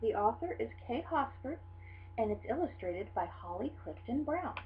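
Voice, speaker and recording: A woman reading a children's picture book aloud in an expressive, dramatic storytelling style. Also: fairly high pitch, normal speed. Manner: animated, dramatic storytelling delivery. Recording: dull lo-fi sound with hum.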